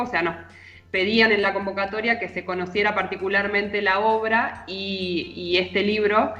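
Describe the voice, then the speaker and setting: A woman's voice: medium pitch, fairly bright tone. A woman with a Rioplatense Argentine accent, talking conversationally as a literary columnist on a radio show. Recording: roomy echo, music underneath.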